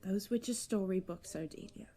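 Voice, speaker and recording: A woman's voice: medium pitch, normal speed, dark tone, natural voice. A woman playing a kindly, proper servant to royalty in a fantasy audio drama, soothing and folksy in manner. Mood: sympathetic.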